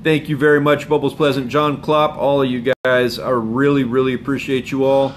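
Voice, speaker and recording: A man hosts a fishing channel live stream from home, chatting loosely with viewers and sounding hoarse from a cold. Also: medium pitch, level tone, normal speed, slight background noise. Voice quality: rambling, laid-back, with chuckles and filler words. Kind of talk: casual live stream chat. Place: home room with bookshelves and a window. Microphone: phone camera up close.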